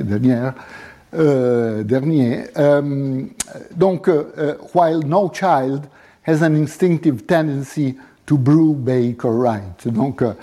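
A middle-aged man whose first language is Italian, lecturing with frequent pauses and hesitations. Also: mid-range voice, warm tone, husky. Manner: hesitant, many pauses and fillers. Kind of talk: university lecture on linguistics.

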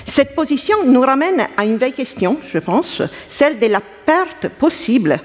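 A woman with an Italian accent giving halting, self-correcting academic remarks as a round-table panelist. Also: high voice, very animated. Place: lecture hall. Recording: long hall reverb.

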